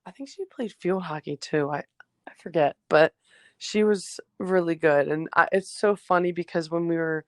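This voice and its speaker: A teenage girl from New Jersey, a high school basketball player, answering interview questions casually with many fillers; her first language was Greek. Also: moderate pace, dry recording, studio quality. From New Jersey, USA. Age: teens.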